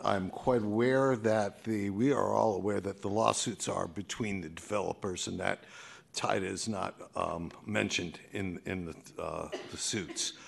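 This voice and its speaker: A man giving a public comment at a board meeting, pressing pointed questions with many pauses and fillers. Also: lowish voice, vocal fry.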